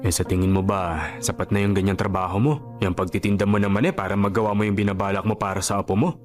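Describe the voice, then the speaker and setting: An actor playing a frank, concerned vendor friend in Filipino radio drama style, blunt, earnest and admonishing. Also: male voice, lowish voice, little pitch movement, fast talker, soft background music, lightly acted. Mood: serious, earnest.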